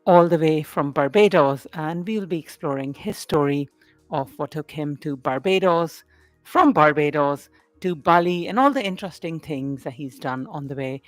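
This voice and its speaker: A man's voice: on the high side, normal speed, fairly bright tone, no room sound, soft background music. A man hosting a podcast, welcoming his guest and prompting him with friendly questions and frequent yeahs. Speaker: podcast host. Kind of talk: podcast interview.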